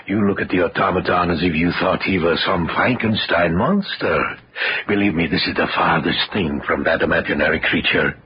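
A man's voice: deep voice, normal speed, breathy edge. An actor in an old-time radio drama playing a zealous, visionary scientist and father with a grandiose, lecturing, emphatic delivery. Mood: earnest, persuasive. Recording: muffled vintage radio recording, music behind.

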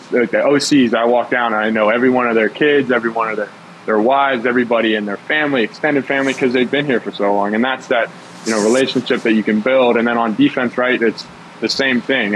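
A man in his twenties, a college football player, talks through a radio interview in a relaxed, rambling way, full of likes and ums. His pitch sits in an ordinary middle range. A faint background noise sits under the line. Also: poor audio. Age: 20s. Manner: easygoing, rambling, filler-heavy. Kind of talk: casual interview.

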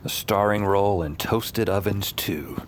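Deep, wry noir narrator played by an actor. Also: male voice, measured pace, heavy background noise, close to an everyday voice. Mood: tense, wry. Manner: terse, dry, wry. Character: weary, wry man on the run narrating his night. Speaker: lead character and narrator. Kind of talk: first-person noir audio drama narration. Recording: music under the voice. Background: dramatic music.

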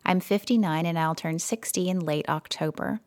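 A woman co-hosting a personal finance podcast, reading a listener's letter aloud in a steady, measured way. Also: fairly low pitch, no echo. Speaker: podcast co-host.

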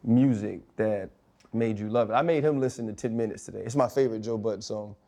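A man's voice: fairly low pitch, fast talker, warm tone. A man co-hosting a podcast, asking loose, rambling questions in casual slang. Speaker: podcast co-host. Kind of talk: casual interview chat.

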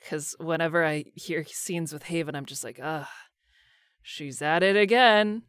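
A woman's voice: deep voice, a little slow, a bit bright, clear, resonant tone, dry recording, clean studio sound. A woman chatting casually as a voice actor guest, with a playful, opinionated way of talking. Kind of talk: casual chat.

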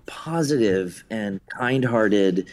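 A man's voice: on the low side, expressive, slow pace, darkish tone. A man being interviewed, telling anecdotes in a conversational, effusive way full of praise. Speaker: guest, theatre writer and director.

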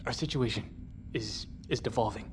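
A man's voice: mid-range voice, normal speed, slight background noise, natural voice. An actor playing an uneasy, sceptical crewman in an audio drama, urgent and repeating his words.

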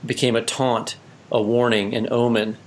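Male podcast host doing a book interview, normal medium pitch, asking the author stuff. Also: little pitch movement, normal speed. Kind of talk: literary interview, questions and read quotations.

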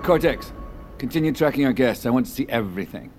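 A man's voice: medium pitch, animated, fast talker, loud music behind the voice, close to an everyday voice. A man playing a controlling tech host in an audio drama, curt and commanding.

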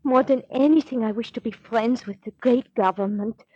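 An actress playing an earnest, wronged refugee woman from Norway, speaking in formal, halting phrases in 1940s radio style. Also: on the high side, mellow, slightly rough, muffled recording. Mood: grateful, resolute.

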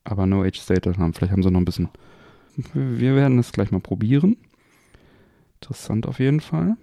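A man's voice: fairly low pitch, animated, a little fast, gravelly, mellow, dry recording, studio quality. A man hosting a podcast in a casual, chatty style. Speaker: podcast host. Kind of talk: casual chat.

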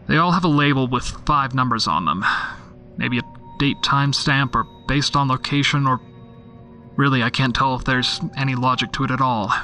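Dry, sharp-voiced homicide detective. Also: male voice, mid-range voice, normal speed, faint music behind. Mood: puzzled, dry. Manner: dry, sardonic, matter-of-fact. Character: weary, skeptical male homicide detective dictating case notes. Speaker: detective narrator. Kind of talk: audio drama monologue.

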